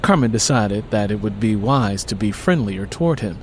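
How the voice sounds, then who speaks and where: A man narrating scripted fiction as an audiobook reader, in an expressive storytelling style. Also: on the low side, normal speed, a little hiss or hum.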